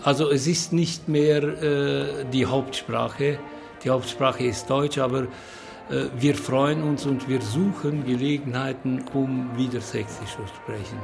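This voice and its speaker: An older retired man from Transylvania, answering an interview slowly with many fillers. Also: medium pitch, fairly even intonation, gravelly. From Transylvania, Romania. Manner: slow, hesitant with fillers. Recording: loud music behind.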